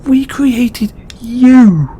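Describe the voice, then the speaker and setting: A man playing a kind, overburdened god in an intimate audio roleplay, speaking haltingly with earnest, pleading emotion. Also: extremely high pitch, animated, mellow, slightly rough, heavily acted. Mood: earnest, sorrowful. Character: kind, overburdened male god, anguished and benevolent.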